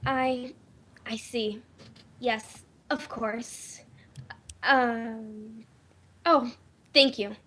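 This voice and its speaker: A woman's voice: on the high side, fairly even intonation, extremely slow, pauses often, sharp tone, slight background noise, heavily acted. A woman playing an anxious, insistent well-to-do lady in old time radio drama style, urgent and faltering in manner. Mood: anxious, disbelieving.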